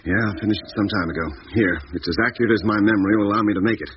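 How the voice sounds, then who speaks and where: An actor in a 1950s British radio drama playing an earnest, troubled doctor on a space expedition, speaking in a hesitant, reflective way. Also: male voice, fairly low pitch, very fast, natural voice. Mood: thoughtful. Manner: hesitant, reflective, protesting sincerely. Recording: muffled, noisy 1950s radio recording.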